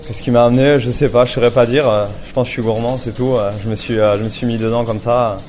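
A man's voice: medium pitch, steady tone, fast, darkish tone, slight background noise. A man in his twenties, a chef from Paris, answering interview questions with many fillers and restarts. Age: 20s.

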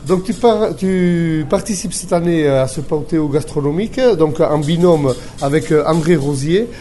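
A man's voice: on the high side, moderate pace, warm tone, soft background music. A man interviewing a chef, often stopping and rephrasing his questions.

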